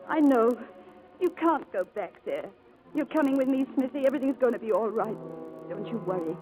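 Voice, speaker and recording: A woman's voice: a little high, lively intonation, fairly quick, darkish tone, natural voice, poor audio. An actress playing a devoted, spirited young woman in a 1940s radio drama, speaking in an earnest, pleading and effusive way. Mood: resolute, soothing. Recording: old radio broadcast with music behind.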